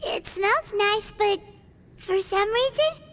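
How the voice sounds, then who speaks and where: A woman's voice: extremely high pitch, animated, slow, a bit bright, slight background noise, exaggerated voice. A woman voicing a small, childlike and earnest game companion who frets. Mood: concerned. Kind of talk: video game voice acting.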